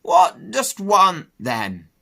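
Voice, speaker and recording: A man voicing an old female ewe, gruff and motherly, with a hoarse, croaking voice and rustic country dialect. He speaks slowly, drawling and blunt. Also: high-pitched, huge pitch swings, a bit bright, pitched above the performer's own voice, full character voice.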